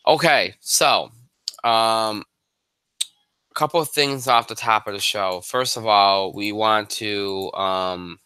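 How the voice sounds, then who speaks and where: A man hosting a live sports show alone, congested and a bit hoarse, rambling with lots of fillers and restarts. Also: takes it slowly, bright, forceful tone, no room sound. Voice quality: high voice for a man.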